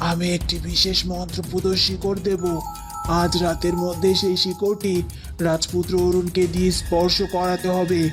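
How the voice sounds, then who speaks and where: A woman voicing a scheming, commanding forest sorceress in a fairy-tale cartoon, measured and ominous. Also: lowish voice, little pitch movement, over music, close to an everyday voice. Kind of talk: dramatized Bengali fairy-tale cartoon acting.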